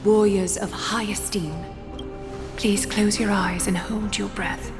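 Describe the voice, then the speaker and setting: An actress playing a solemn priestess-like figure in a game, proclaiming formally and ceremonially, with measured delivery. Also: female voice, medium pitch, steady tone. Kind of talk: dramatic game voice acting. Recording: long hall reverb under music.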